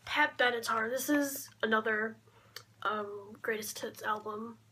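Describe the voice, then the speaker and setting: A young woman talking casually in a low-key show-and-tell vlog, often trailing off, recorded on a camera mic in a bedroom. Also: a little slow, pushed and bright, low-quality recording. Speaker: vlogger showing a collection. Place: small cluttered bedroom, indoors. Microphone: camera mic at arm's length. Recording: clear background noise, handling clicks.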